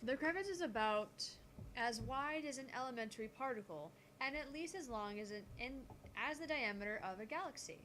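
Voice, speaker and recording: A woman voicing a calm, gutsy teenage genius girl who lectures on physics in a visual novel. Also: on the high side, a little fast, slight background noise. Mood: explanatory. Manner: explanatory, lecturing.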